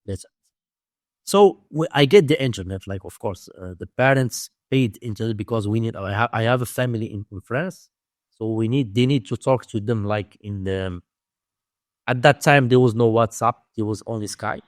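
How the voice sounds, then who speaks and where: A man's voice: fairly low pitch, no echo. Moroccan-accented man in a dry-sounding interview. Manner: halting, repetitive non-native English phrasing. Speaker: interview guest, domain investing teacher. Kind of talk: conversational interview storytelling.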